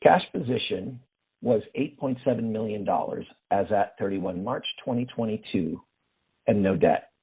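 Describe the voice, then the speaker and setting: A man, a finance executive, reading a formal financial report in a steady manner over a phone line. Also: on the low side, moderate pace, husky.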